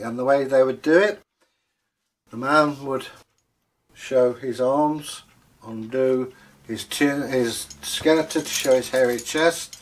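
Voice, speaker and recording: A man telling stories aloud in a theatrical, joking manner, drawing out words for emphasis. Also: medium pitch. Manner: theatrical, jokey, drawn-out emphasis. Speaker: storyteller. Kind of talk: animated oral folktale telling and chat.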